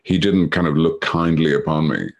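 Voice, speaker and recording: A man's voice: extremely low pitch, moderate pace. A middle-aged Irish man sharing his story on a video call, rambling with many fillers and a dry, sweary humor. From Ireland. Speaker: recovery meeting speaker.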